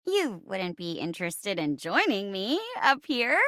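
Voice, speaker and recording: A woman's voice: mid-range voice, sing-song, unhurried, sharp tone, no room sound, clean studio sound. A woman voicing a perky living chair who is an eager, stagey young actress in a dating game. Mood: bubbly, eager. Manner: bubbly, dramatic, stagey. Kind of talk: animated dating-game character voice acting.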